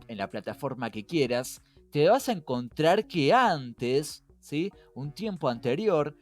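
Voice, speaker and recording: Man, Rioplatense accent, lively varied pitch, casual podcast host, rhetorical questions, music behind. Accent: Rioplatense Spanish. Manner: engaging, asks rhetorical questions. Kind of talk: casual conversational podcast explainer. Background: background music.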